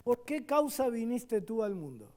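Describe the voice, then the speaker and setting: An Argentine man preaching at a church service with a very high voice. He takes his time, letting each phrase land, and swings from loud emphatic declarations to quiet asides. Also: sing-song, measured pace. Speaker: guest pastor. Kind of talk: sermon.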